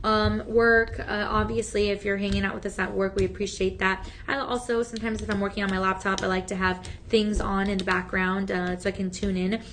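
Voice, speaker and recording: A woman hosting a shopping channel, chatty and encouraging, with a slightly raspy voice that is still healing from talking so much. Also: mid-range voice, level tone, a little fast, few pauses, fairly bright tone, a little hiss or hum. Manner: chatty, upbeat and encouraging, many fillers. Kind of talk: live TV sales pitch. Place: TV studio.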